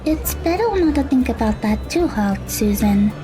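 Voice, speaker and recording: Woman as a high, animated teenage schoolgirl. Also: normal speed, music in the background, natural voice. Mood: easygoing. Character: cheerful teenage Japanese schoolgirl, easygoing and curious. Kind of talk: audio drama acting.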